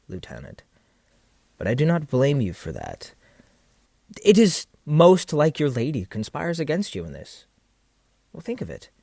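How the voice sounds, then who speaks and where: An actor playing a vain, scheming, seductive military captain in a florid, theatrical audio drama style. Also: male voice, mid-range voice, darkish tone, no room sound, clean studio sound. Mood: smooth, manipulative. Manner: theatrical, florid, pausing rhetorically. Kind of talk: stage-play style period audio drama acting.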